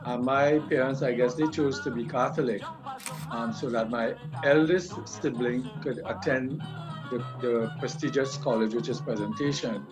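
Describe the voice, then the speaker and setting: An older man with a Trinidadian accent, telling his life story in an interview, hesitant and halting. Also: mid-range voice, over music, low-quality recording. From Trinidad and Tobago.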